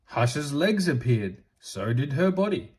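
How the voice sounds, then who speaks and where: Animated man reading slowly to children. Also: medium pitch, slightly rough. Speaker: storybook reader. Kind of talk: expressive read-aloud narration for children.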